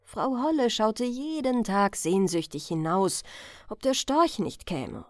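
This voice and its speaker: A woman narrating a scripted fairy tale as a bedtime audiobook, expressive and carefully phrased. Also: mid-range voice, slow pace, no room sound, studio quality.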